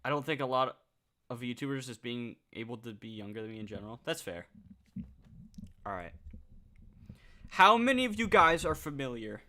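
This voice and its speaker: A nineteen-year-old man chatting casually on a livestream, reading out viewer comments, excitable and swearing freely. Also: mid-range voice, moderate pace, long pauses.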